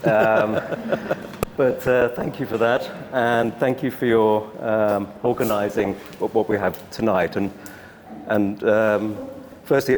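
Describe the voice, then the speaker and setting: A man's voice: lowish voice, a little mellow, a little hiss or hum. A middle-aged man speaking as a panelist at a public talk, earnest and repetitive, with frequent restarts. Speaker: panelist, urban developer.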